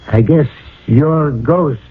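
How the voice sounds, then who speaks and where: An actor playing a weary, guilt-ridden businessman father in a radio drama, halting and rueful. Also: on the high side, husky, heavily acted. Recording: muffled old radio broadcast.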